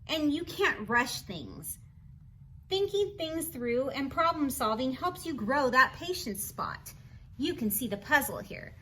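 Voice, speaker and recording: Woman, high-pitched, bright tone, children's read-aloud, expressive, encouraging, teacherly asides, faint background noise. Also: rough recording. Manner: teacherly, encouraging, expressive, asks the listener questions. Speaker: storybook reader for children.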